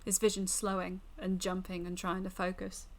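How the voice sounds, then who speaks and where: A woman's voice: lowish voice, monotone, normal speed. A woman streamer reading a visual novel aloud as dramatic story narration.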